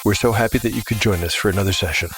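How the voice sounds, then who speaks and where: A man's voice: on the low side, very fast, a bit bright, faint music behind, rough recording. A man hosting a podcast and narrating a tabletop fantasy game in an audio drama style.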